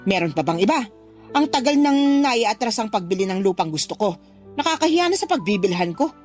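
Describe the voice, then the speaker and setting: A woman's voice: mid-range voice, sing-song, a little fast, darkish tone, lightly acted. An actress playing a demanding middle-aged Filipino mother who scolds and guilt-trips in a radio drama. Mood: aggrieved, nagging. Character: demanding, nagging mother pressuring her grown daughter. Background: background music.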